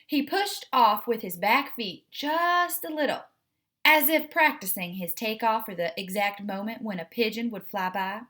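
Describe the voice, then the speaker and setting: Higher-voiced woman reading a children's story. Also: measured pace. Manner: expressive, addresses young listeners directly. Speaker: read-aloud host.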